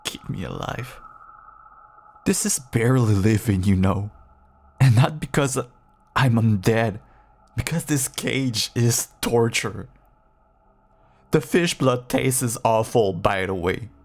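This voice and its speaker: A caged male vampire, acted out by a man, speaks slowly in a raspy, crackling voice. He clings and pleads in an intimate audio drama, swinging between hushed endearments and bitter reproach. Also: medium pitch, animated. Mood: bitter, anguished.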